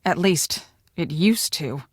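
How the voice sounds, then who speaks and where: An actress playing a brisk, bossy young woman on a storm-chasing team in a video game, speaking emphatically and expressively. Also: low voice, animated, breathy edge, no echo, clean studio sound, heavily acted. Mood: troubled. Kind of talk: scripted video game dialogue.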